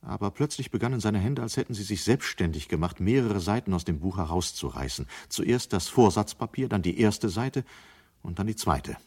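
A man's voice: lowish voice, expressive, normal speed, darkish tone, slightly rough. A man narrating a scripted German radio play from the 1970s.

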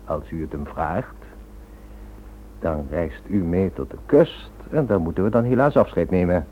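A man playing a smug, cynical criminal schemer in a radio play, suave and mock-polite with quiet menace. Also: low voice, normal speed, husky. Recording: thin narrow-band old radio drama recording.

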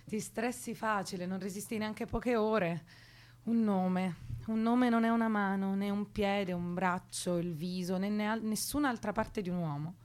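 A woman's voice: mid-range voice, unhurried, darkish tone, natural voice. Actress doing a young activist girl, restless n guarded, intimate radio drama talk, teasing but earnest, mid voice. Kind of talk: naturalistic Italian radio drama dialogue.

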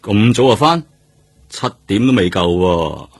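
A seasoned older male detective, has a husky, somewhat low voice. He is wry and fatherly in a world-weary, colloquial way, like an old colleague in a police radio drama. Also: big pitch swings, unhurried, heavily acted. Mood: wry, concerned. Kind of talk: Cantonese radio drama acting.

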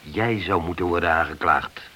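A man acting in a radio play as a stern, accusing senior police inspector who speaks deliberately. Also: low voice, pushed and bright, slight background noise.

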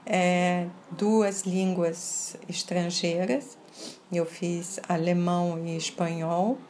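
A woman from France with a slight French accent, answering interview questions in a measured way, stressing single words and often checking in with "tá?". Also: on the low side, fairly even intonation, darkish tone, a little hiss or hum. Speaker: interview guest. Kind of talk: casual interview conversation.

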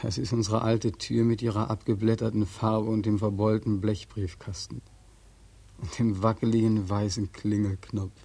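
An actor playing a despairing, homeless soldier back from war, emotional and pleading, in 1940s radio drama style. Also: male voice, lowish voice, flat delivery, normal speed, vocal fry, mellow, slightly rough. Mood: longing, hushed. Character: despairing returned soldier, homeless, anguished and naive. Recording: dry 1940s radio recording.

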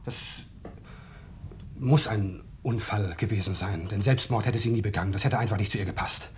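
A man's voice: on the low side, normal speed, background noise, lightly acted. An actor as an anguished man confessing an affair. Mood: defensive, agitated. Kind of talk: German radio drama acting.

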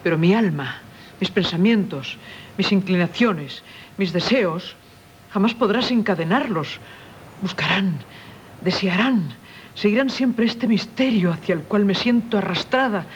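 An actress playing a passionate, yearning wife in a 1970s radio drama, fervent and theatrical, with a Castilian Spanish accent. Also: female voice, mid-range voice, expressive, unhurried, lightly acted. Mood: anguished yearning. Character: passionate, yearning doctor's wife, torn then resolute. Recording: muffled, slightly noisy 1970s radio recording.

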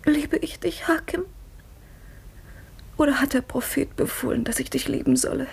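An actress playing Fatime, a clever and devout young wife who is playful, earnest and intimate in a 1950s radio play. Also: female voice, high voice, steady tone, moderate pace, creaky. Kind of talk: 1950s radio drama acting.

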